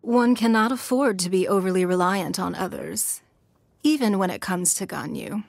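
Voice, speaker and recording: A woman voicing a poised, calculating, wealthy noblewoman in a fantasy video game, speaking in a measured, formal and haughty manner. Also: mid-range voice, animated, creaky, dry recording. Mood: cool, calculating. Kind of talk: video game character voice lines.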